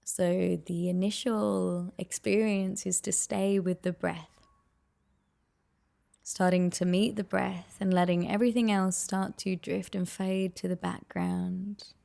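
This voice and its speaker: A woman leading a guided meditation with soft, soothing, unhurried and gently encouraging instruction. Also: on the low side, level tone, vocal fry.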